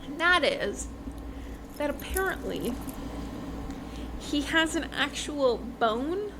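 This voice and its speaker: A woman with a somewhat high voice hosts a casual chat show. She tells things in a loose, rambling, conversational way. Noise is clearly audible behind her. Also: expressive, slow pace. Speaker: podcast host reviewing romance books. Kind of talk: casual book recap chat. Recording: noticeable background noise.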